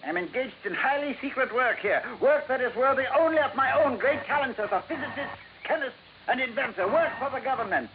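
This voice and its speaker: An actor playing a hostile, boastful, secretive inventor, pompous and formal in a 1950s radio drama. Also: male voice, very high voice, animated, a little fast. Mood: haughty, suspicious. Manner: pompous, imperious, stilted formal phrasing. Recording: muffled old radio recording, faint noise.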